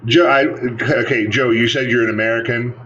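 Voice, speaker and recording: A man's voice: medium pitch, fairly even intonation, normal speed, pushed and bright. Confrontational man on dull audio. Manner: confrontational, swearing. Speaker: panelist. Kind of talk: heated panel argument. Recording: dull audio with faint noise.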